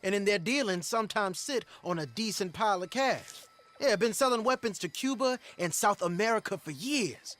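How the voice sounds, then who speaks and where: A young actor playing an eager, cocky outlaw with a chatty, excitable manner. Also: male voice, high voice, moderate pace.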